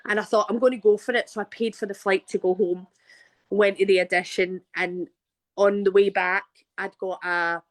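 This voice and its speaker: A woman's voice: medium pitch, flat delivery, normal speed. A Glaswegian woman in her thirties, an actress telling a story in a casual interview, chatty with frequent restarts. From Scotland. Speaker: guest actress.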